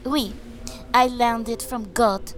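Woman acting a high-voiced, devout French warrior maid. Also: steady tone, takes it slowly, a crowd in the background. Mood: sweetly earnest. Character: devout, blunt young French warrior saint on trial. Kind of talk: comedic audio drama acting.

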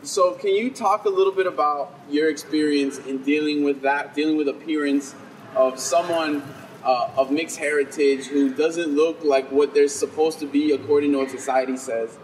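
A man's voice: a little high, steady tone, normal speed, recorded from a distance, slight background noise, rough recording. A man interviewing an author, asking long, winding questions full of fillers and hesitations.

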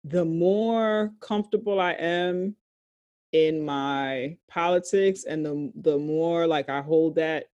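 Deep-voiced woman, blunt and emphatic. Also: slow pace, darkish tone, no echo, lo-fi sound. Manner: emphatic, profane, stretches out key phrases. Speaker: podcast co-host. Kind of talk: candid casual discussion.

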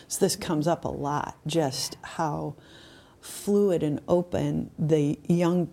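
A woman's voice: low-pitched, gravelly, mellow. A woman co-hosting a podcast in casual, reflective conversation. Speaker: podcast co-host.

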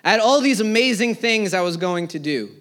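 High-voiced man in his thirties preaching. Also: expressive, fairly quick. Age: 30s. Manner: preaching with swelling emphasis that sinks to quiet phrase endings. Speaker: pastor. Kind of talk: sermon. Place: church service before a live congregation.

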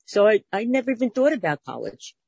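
A woman's voice: on the high side, sing-song, fast, vocal fry, darkish tone, breathy edge, dry recording. A woman in her sixties with a Brooklyn accent, telling her life story as an interview guest, earnest and plainspoken with some fillers. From Brooklyn, New York.

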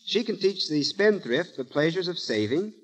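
A man with a slightly high voice speaks like a professor. His delivery is formal, precise and didactic, clearly read from a script for 1930s radio. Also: lively intonation, normal speed, slight background noise. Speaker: guest psychologist, professor.

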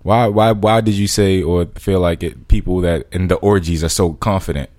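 A man hosting a casual interview chat, halting and repeating words as he finds his point. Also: low-pitched, steady tone, darkish tone, dry recording.

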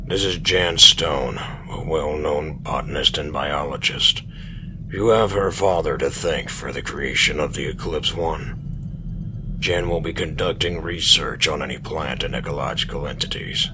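A man playing a gruff, impatient male commander of a space agency, speaking in a stern way. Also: a bass voice, a little slow, noisy background. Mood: formal.

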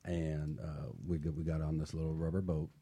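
Deep, mellow-voiced man telling stories. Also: little pitch movement, brisk, breathy edge. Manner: laid-back, many fillers and restarts. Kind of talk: personal storytelling on a talk show.

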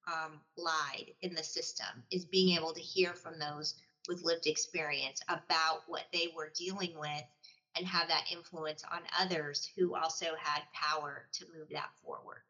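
A woman and former supervisor speaking in a measured, thoughtful way in a panel discussion. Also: deep voice, steady tone, pushed and bright, low-quality recording.

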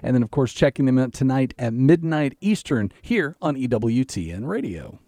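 A man's voice: medium pitch, very animated, mellow, no echo, clean studio sound. Man reading station promos. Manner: brisk announcer reading promos. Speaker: radio show team member.

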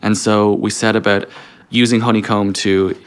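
Low-voiced man explaining in conversation. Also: flat delivery, normal speed, clean tone. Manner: explains through self-posed questions and quoted inner dialogue. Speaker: podcast guest, engineering leader. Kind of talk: conversational interview talk.

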